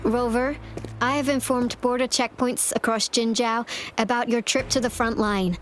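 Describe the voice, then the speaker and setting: An actress playing a composed, caring city magistrate in a video game cutscene, speaking formally and with measured reassurance. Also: female voice, fairly high pitch, slight background noise, close to an everyday voice.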